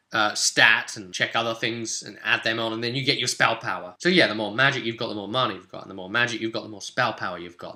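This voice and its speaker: A man with a very bright, sharp-edged voice pitched a little low. He explains rules in a casual, chatty way, leaning on key points and tacking questions onto his statements as he guides the listener. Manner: chatty, conversational, emphatic, adds tag questions. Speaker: tabletop game guide presenter.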